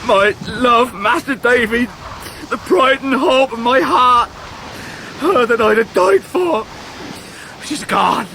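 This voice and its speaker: A man's voice: extremely high pitch, animated, creaky, pushed and bright, full character voice. Heartbroken rustic young fisherman, wide-swinging voice. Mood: anguished. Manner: halting, rustic, deferential. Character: heartbroken, honest young working-class fisherman. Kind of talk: period radio drama acting. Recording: noisy 1990s radio drama recording.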